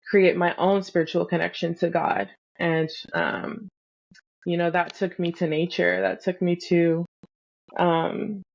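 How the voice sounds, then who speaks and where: Earnest low flat-voiced young woman. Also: creaky, highs cut off. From Fresno, California. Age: young adult. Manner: earnest, many ums and soft restarts. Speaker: podcast guest. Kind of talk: reflective conversational storytelling.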